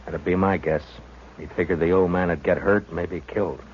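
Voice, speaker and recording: An actor playing a stern US Marshal of the Old West in a 1950s radio western, with an American accent and terse, measured delivery. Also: male voice, deep voice, fairly even intonation, lightly acted. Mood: grim, knowing. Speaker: lead actor, also narrates the story. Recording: muffled, noisy 1950s radio recording.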